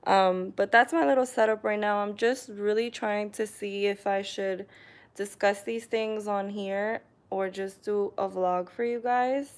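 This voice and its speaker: A young woman from New York chats unhurriedly to her viewers from her living room, filling pauses with ums. She is recorded by a camera on a tripod, and her pitch sits in a middle range. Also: fairly even intonation. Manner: chatty, hesitant, frequent ums and laughs. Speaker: vlogger reading live chat comments. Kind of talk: casual live stream chat.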